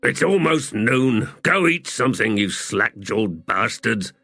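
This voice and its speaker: A male narrator performs a scrappy army sapper from a fantasy tale. He is stubborn and blunt, pressing his point with passion in a raised, forceful voice. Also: medium pitch, animated, slow, breathy edge, dry recording, voice pushed up, full character voice. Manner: blunt, insistent.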